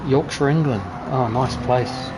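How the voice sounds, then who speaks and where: A man's voice: mid-range voice, unhurried, very noisy. Laid-back New man chatting. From New Zealand. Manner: chatty, laid-back, teasing other drivers. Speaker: streamer racing online. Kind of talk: casual live-stream commentary and chat. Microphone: gaming headset.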